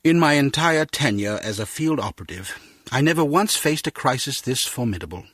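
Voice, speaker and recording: An actor voicing a courteous middle-aged spymaster in a video game, speaking in a measured, formal, gentlemanly way. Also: male voice, medium pitch, lively intonation, dry recording, clean studio sound. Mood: reassuring, reflective. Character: courteous middle-aged British spymaster, encouraging ex-field agent. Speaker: spy agency chief.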